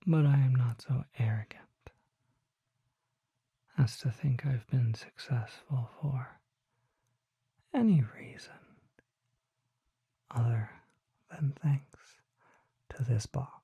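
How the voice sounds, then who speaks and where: A mysterious vampire apothecary, acted by an ASMR performer, whispers with solemn patience. The delivery is slow, deliberate and formally worded. The sound is very soft and dark, close and dry, full of breath. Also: medium pitch, vocal fry, breathy edge, dry recording, lo-fi sound, full character voice. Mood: solemn, gentle.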